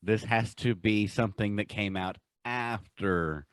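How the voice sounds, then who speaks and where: A man co-hosting a podcast in casual, enthusiastic chat, with a halting way of talking. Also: fairly low pitch, clean tone, no echo, studio quality. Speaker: podcast co-host. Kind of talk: casual chat, countdown commentary.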